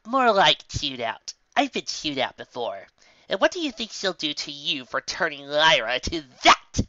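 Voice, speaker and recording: Man voicing a bossy, exasperated unicorn mare, bright-toned. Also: a little high, wildly swooping pitch, normal speed, dry recording, muffled recording, full character voice. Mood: sarcastic, confrontational. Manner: sarcastic, impatient. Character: bossy, exasperated young female unicorn magician pony.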